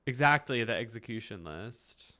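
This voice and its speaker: A man's voice: mid-range voice, expressive, moderate pace, sharp tone. A man giving casual game reaction commentary, muttering incredulous asides and repeating himself. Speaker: reaction video host. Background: faint game music.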